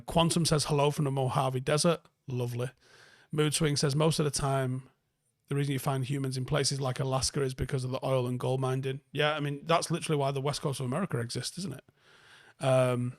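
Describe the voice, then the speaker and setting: Male livestream host, softened Mancunian accent, creaky mid-pitched voice, close dry sound, blunt and sweary off-the-cuff chat. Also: no echo. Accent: northern English, softened Manchester. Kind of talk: casual chat, answering viewer comments.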